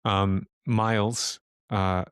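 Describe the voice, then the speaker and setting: A man with a lowish voice acts as game master, describing things slowly and haltingly as he thinks them through. The recording is dry and close, with hardly any room to it. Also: flat delivery, a bit bright, clean tone, no room sound. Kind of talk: improvised narration of a tabletop horror game.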